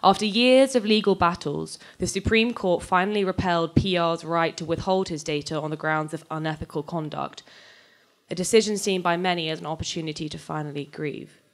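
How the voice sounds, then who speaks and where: A young adult woman giving a live, scripted dramatic reading of fiction on stage into a microphone, moving between measured narration and conversational dialogue. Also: fairly low pitch, steady tone. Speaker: reader of a short story. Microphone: handheld-type microphone on a stand, close.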